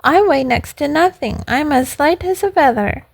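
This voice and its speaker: Woman acting out a childlike wooden puppet boy, very high voice, plaintive, emotional, exclaiming, storybook style. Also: lively intonation, gravelly. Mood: excited. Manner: plaintive, exclamatory, rapid questioning. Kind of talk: storybook character voice.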